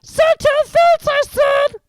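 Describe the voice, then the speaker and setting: Gleeful sing-song poltergeist, shrill and booming, dry close sound. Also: flat delivery, slow, no echo. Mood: taunting. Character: mischievous cackling male poltergeist. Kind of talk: audiobook character voice.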